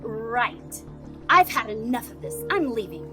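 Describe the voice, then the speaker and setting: A woman's voice: on the high side, sing-song, a little slow, over music. A woman playing a headstrong, skeptical frontier woman in a Western audio drama, blunt and defiant. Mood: defiant, fed up. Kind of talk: scripted audio drama acting.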